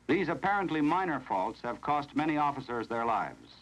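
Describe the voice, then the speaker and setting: An American man reading scripted narration in the formal, measured, instructional manner of a 1970s training film, on a vintage film soundtrack. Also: mid-range voice, sing-song, fairly bright tone, rough recording.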